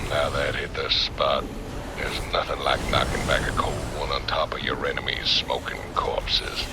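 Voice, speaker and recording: An actor playing a big, cocky, destructive convict marine in a video game, with a gravelly Southern US drawl. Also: male voice, monotone, normal speed. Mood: lazy swagger. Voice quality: very deep, gravelly. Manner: drawling, swaggering, folksy. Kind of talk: video game character acting. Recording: loud game music behind.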